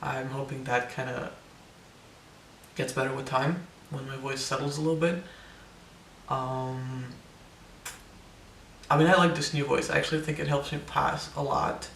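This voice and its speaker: Young man vlogger, hesitant and casual, flat gravelly delivery with voice cracks, roomy distant recording. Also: medium pitch, unhurried, long pauses, slight background noise. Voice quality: voice cracks, air breaking through on some notes. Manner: hesitant, many ums and restarts. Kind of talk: casual personal update to camera.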